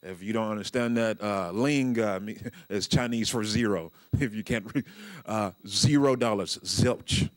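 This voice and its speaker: A middle-aged man with a fairly low voice speaks to a seated crowd in a conference room. He talks loosely, full of ums, restarts and small jokes, into a handheld mic. The sound is dry and close. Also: unhurried, hardly any pauses, no room sound. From Nigeria. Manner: conversational, lots of fillers and restarts, joking asides. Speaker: keynote speaker, state transportation official. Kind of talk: conference keynote speech. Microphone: handheld microphone.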